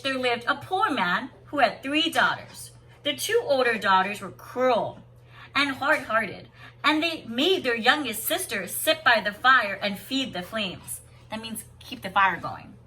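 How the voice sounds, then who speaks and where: A woman with a high, bright voice reads a children's story like a teacher. Her delivery is dramatic and very animated, with simple explanatory asides, and she sounds slightly away from the microphone. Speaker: kindergarten teacher reading aloud. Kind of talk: expressive storybook read-aloud with simple explanations. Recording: voice somewhat distant from the mic.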